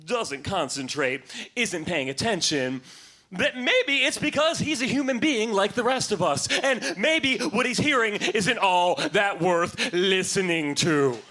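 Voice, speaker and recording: High-pitched, bright-voiced young male slam poet. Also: sing-song. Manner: emphatic, theatrical, dramatic pauses. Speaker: slam poet performing on stage. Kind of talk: live poetry reading and spoken word to an audience.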